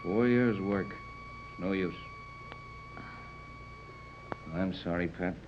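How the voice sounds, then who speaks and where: A muffled old 1930s radio serial recording carries a gruff American sea captain and inventor. He is practical, brisk and commanding, and his pitch swings very widely as he speaks. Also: huge pitch swings, background noise, exaggerated voice. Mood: resigned. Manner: brisk, commanding, plain-spoken.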